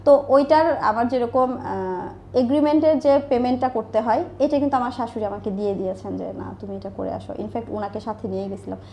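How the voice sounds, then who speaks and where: A woman's voice: fairly high pitch, a little fast, creaky, dark tone, a little hiss or hum. A Bangladeshi woman telling personal stories as an interview guest, talkative, repeating phrases and voicing quoted dialogue. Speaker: interview guest, small business owner. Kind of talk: conversational interview, personal storytelling.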